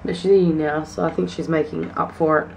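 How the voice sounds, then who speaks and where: A woman's voice: deep voice, fairly even intonation, a little fast, gravelly, background noise. A young woman talking casually to her vlog audience, hesitant and full of fillers. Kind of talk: casual vlog chat to camera.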